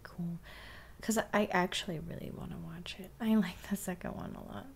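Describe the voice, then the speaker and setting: A woman's voice: fairly low pitch, steady tone, normal speed, vocal fry, breathy edge. A young woman playing a bossy, teasing step-sister in an intimate ASMR roleplay, often dropping toward a whisper. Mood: teasing, relaxed.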